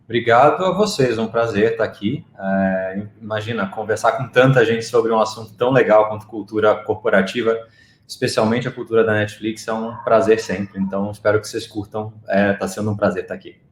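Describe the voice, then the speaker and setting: A man in his thirties from Rio de Janeiro with a faint Rio accent, answering interview questions in a relaxed, chatty way. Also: fairly low pitch, brisk, roomy sound, a little hiss or hum. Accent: faint Rio de Janeiro Portuguese. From Rio de Janeiro, Brazil. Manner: relaxed, chatty, frequent "né" fillers. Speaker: guest, marketing executive.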